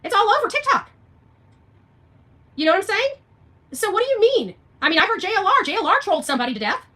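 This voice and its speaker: Female livestream host, high and sharp-voiced, argumentative, emphatic, repetitive, addressing commenters directly. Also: very animated, fast. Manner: combative, emphatic, repeats phrases and rhetorical questions. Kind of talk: unscripted livestream rant, argumentative.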